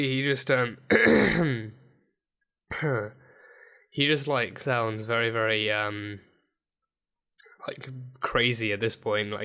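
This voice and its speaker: A man with a dry, croaky throat recapping a TV episode in a rambling, casual way, full of fillers and restarts. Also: mid-range voice, sharp tone. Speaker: TV episode reviewer. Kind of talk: casual reaction video recap.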